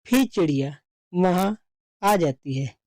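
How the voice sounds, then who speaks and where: A woman's voice: lowish voice, expressive, slow, darkish tone, dry recording, clean studio sound. A woman narrating a scripted children's story as its storyteller.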